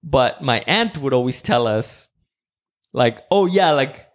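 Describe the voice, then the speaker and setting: A man from Mexico hosting a podcast, chatting casually and rambling with many "like"s and animated quoted voices. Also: medium pitch, takes it slowly. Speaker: podcast host. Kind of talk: casual chat.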